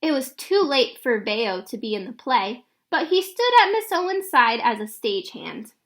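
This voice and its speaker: A woman narrates a children's storybook aloud. Her voice sits high and moves through a lively, wide range of pitch, in an expressive read-aloud style. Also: moderate pace.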